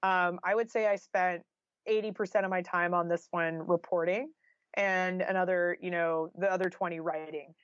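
A woman's voice: fairly low pitch, fairly even intonation, moderate pace, no room sound, dull audio. A woman giving conversational interview answers as a guest author, explaining informally with lots of "like" and "you know".

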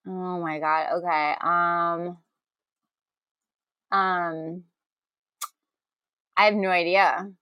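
A woman's voice: on the low side, level tone, extremely slow, pauses often, clear, resonant tone, dry recording, muffled recording. A woman in her thirties chatting casually as a comedy podcast co-host, goofy and exclamatory. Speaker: podcast co-host and game contestant.

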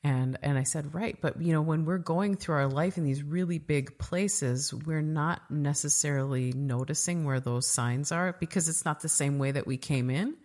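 A woman's voice: deep voice, moderate pace, hardly any pauses, a little mellow. A woman hosting a podcast interview, chatting casually with many fillers and restarts. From United States. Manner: rambling, frequent fillers and restarts. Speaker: podcast host.

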